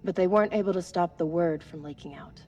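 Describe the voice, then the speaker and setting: A woman's voice: lowish voice, fast, mellow, dull audio, slight background noise, close to an everyday voice. An actress playing a sympathetic female crewmate in a video game, speaking in an earnest, emotional way.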